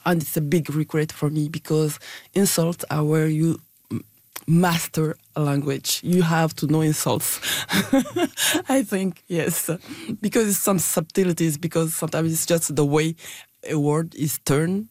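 A woman's voice: sits low, unhurried, a little mellow, no echo. A woman from Niger, a Hausa speaker, speaking hesitantly in an interview, restarting words and using many fillers. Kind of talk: casual interview talk.

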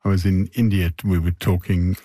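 A relaxed male interviewer with a low, mellow voice, often offering short encouraging replies. The recording is dry and close, with no room sound. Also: moderate pace, dry recording. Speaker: interviewer, host. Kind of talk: conversational interview.

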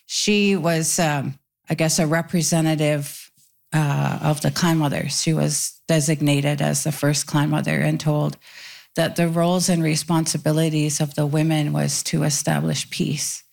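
A woman speaking as a panel guest, slow and reflective, pausing as she talks. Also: low voice, little pitch movement, vocal fry, a little mellow. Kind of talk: panel discussion.

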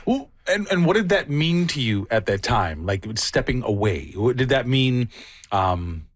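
A man's voice: medium pitch, sing-song, a little slow, no room sound, clean studio sound. A man interviewing a guest, curious and encouraging as he asks questions. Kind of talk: interview conversation.

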